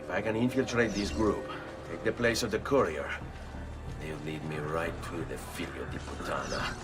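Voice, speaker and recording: An actor playing a brash, determined young Italian avenger with an Italian accent, intense and dramatic in a video game. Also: male voice, low voice, steady tone, normal speed, lightly acted. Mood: resolute. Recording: music behind the voice.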